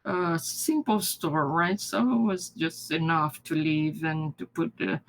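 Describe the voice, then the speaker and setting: Slow-spoken older woman, Japanese native. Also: deep voice, steady tone, a little hiss or hum. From Brazil. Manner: halting, restarts often, soft laughs. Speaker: interviewee, retired mathematics professor. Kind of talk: recorded life-story interview.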